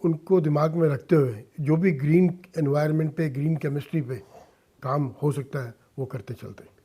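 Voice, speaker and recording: Mellow middle-aged man, slightly high voice. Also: normal speed, breathy edge. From India. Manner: earnest, mixes in English phrases. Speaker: interview guest, businessman and social worker. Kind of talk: conversational radio interview. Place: radio studio.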